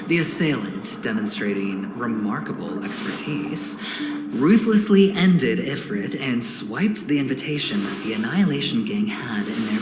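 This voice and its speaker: An actor playing a suave, smug, scheming corporate insider in a video game, speaking theatrically with dramatic pauses. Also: male voice, medium pitch, echoey room. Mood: ominous, dramatic. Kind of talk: video game cutscene dialogue.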